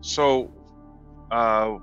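A man playing a tabletop game online, asking things in a halting, casual way. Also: mid-range voice, steady tone, fairly bright tone, smooth, highs cut off. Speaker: tabletop role-playing player. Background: music.

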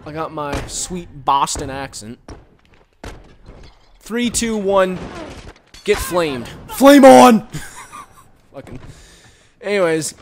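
A man giving casual, rambling commentary while playing a game, recorded on a headset and reacting loudly to the action. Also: high-pitched, very animated, very slow, pauses often, a little hiss or hum. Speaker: gaming commentator.

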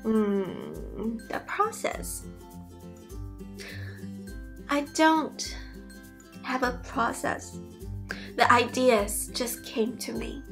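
A woman's voice: on the high side, very slow, pauses often, fairly bright tone, faint music behind. A young woman with a Mandarin Chinese accent, talking through a Q&A in a casual, earnest way and often restarting her sentences. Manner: chatty, earnest, with frequent restarts. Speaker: YouTuber and PhD student. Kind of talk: casual Q&A vlog talk. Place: bedroom, indoors.

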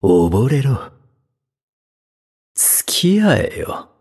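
Slow, husky, seductive demon. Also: male voice, mid-range voice, huge pitch swings, darkish tone, exaggerated voice. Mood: mocking, languid. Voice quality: breathy, husky. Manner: languid, taunting, theatrical. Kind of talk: game character voice lines.

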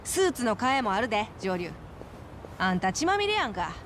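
A woman's voice: on the high side, big pitch swings, brisk, a bit bright, a little hiss or hum. An actress playing a streetwise young woman in a game, teasing and frank, with a Kansai accent. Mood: breezy. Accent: Japanese Kansai.

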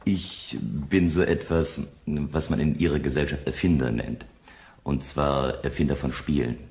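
A calm, wry inventor narrating a sci-fi radio play. His voice is extremely deep and full of gravelly creak. The delivery is measured, matter-of-fact and husky. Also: exaggerated voice. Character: calm, wry male inventor of games, telling his story. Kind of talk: audio drama narration and dialogue.

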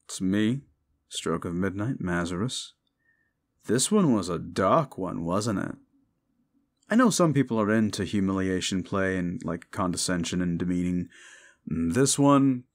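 A man with a fairly low voice talks casually to his audience as the creator of audio roleplays. He sounds informal and a little halting, thinking aloud as he goes. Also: animated. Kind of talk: casual outro chat to listeners.

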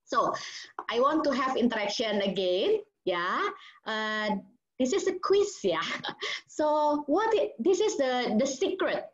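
A woman's voice: high-pitched, very animated, takes it slowly. A woman with an Indonesian accent, a child psychologist giving an interactive parenting webinar, switching between English and Indonesian with many fillers. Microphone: video call. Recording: muffled video call audio.

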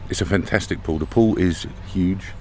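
A man's voice: low-pitched, moderate pace. A middle-aged British man chatting casually to camera as a travel vlogger, outdoors at a campsite. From Britain. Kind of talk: casual vlog chat to camera. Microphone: camera at arm's length. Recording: outdoor camera audio with background noise.

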